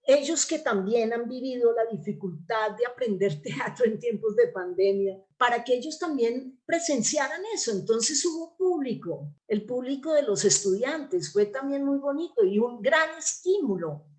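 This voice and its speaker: An older woman with a Colombian accent and a somewhat high voice speaks as an interview guest. She explains things reflectively, in a conversational way, and often seeks agreement with little tag questions. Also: expressive, moderate pace, hardly any pauses, darkish tone. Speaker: interview guest, theatre actress and playwright.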